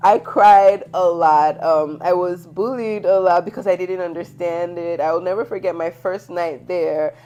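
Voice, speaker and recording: A woman's voice: fairly low pitch, moderate pace, hardly any pauses. A woman in her thirties from New York, hosting an interview over a video call and telling stories with lots of laughter. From New York, United States. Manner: animated storytelling, laughing often. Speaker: host. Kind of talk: casual interview chat.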